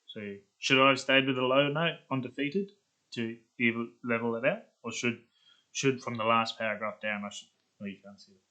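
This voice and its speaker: A man's voice: medium pitch, steady tone, moderate pace, sharp tone, poor audio. A man talking informally and thinking aloud in a casual vlog style. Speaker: video creator.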